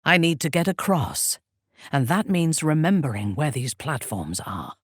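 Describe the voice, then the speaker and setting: A low-voiced, imperious dark warrior commander. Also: female voice, big pitch swings, husky, dry recording, clean studio sound, heavily acted. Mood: musing, wry. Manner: measured, commanding, cold, menacing. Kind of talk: fantasy video game voice acting.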